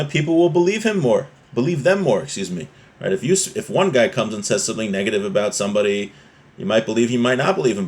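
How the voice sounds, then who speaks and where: Man teaching an informal religious class. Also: fairly low pitch. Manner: explanatory, often checking with "right?". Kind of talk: informal religious class, reading Hebrew text and explaining it.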